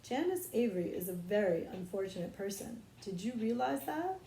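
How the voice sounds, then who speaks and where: A woman's voice: medium pitch, sing-song, normal speed, dark tone, roomy sound, poor audio. A woman voicing an earnest young schoolgirl in an audiobook, precise and confiding in a slightly grown-up way. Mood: grave, knowing. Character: earnest, knowing young schoolgirl who relishes secrets. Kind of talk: audiobook character voice.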